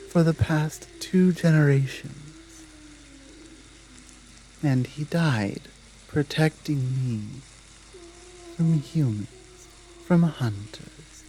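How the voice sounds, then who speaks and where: A woman playing a stern, principled female werewolf pack leader in an ASMR audio drama, protective and dominant, speaking in a measured, deliberate way. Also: low voice, dark tone, slight background noise, full character voice, low-quality recording. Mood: somber, reflective. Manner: measured, firm, deliberate, with pauses.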